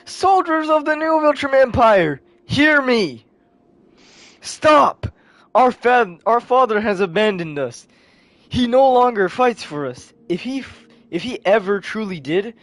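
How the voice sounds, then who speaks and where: A man's voice: lively intonation, normal speed, few pauses, no room sound. A man voicing an earnest teenage alien girl, a warlord's daughter declaiming to soldiers in a comic retelling. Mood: resolute. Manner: high-pitched, earnest, declaiming. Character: teenage alien daughter rallying soldiers against her father.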